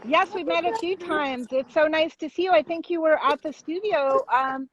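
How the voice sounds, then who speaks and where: Woman, high pitch, casual interviewer, effusive and appreciative, slightly dull lo-fi sound. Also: moderate pace, crowd noise.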